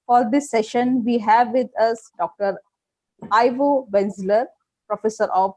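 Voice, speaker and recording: Polite high-voiced woman hosting a webinar. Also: darkish tone, no room sound, rough recording. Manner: scripted, polite, formal. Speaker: webinar host. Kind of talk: formal event introduction.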